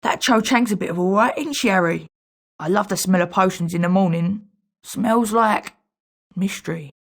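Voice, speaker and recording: A woman's voice: mid-range voice, moderate pace, vocal fry. A woman playing a gossipy young wizard school student, speaking conversationally in scripted video game lines. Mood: friendly.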